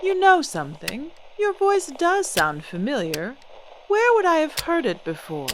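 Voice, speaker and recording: A woman's voice: high-pitched, huge pitch swings, measured pace, crowd noise, heavily acted, rough recording. A woman playing a poised, defiant celebrity singer in an old-time radio drama, speaking with composed, pointed, genteel diction. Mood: guarded, curious.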